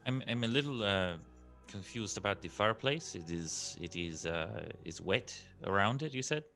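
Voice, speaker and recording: A man's voice: fairly low pitch, expressive, normal speed, creaky, pushed and bright, faint music behind. A man playing a tabletop roleplaying game, speaking hesitantly and repeating his words as he asks questions.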